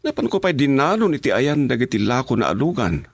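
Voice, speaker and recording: A man's voice: medium pitch, sing-song, fairly quick, slightly rough, dry recording, studio quality. An actor playing a nervous, deferential henchman, eager and jumpy, in a radio drama. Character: nervous, deferential henchman reporting to his boss. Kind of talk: Ilocano radio drama acting.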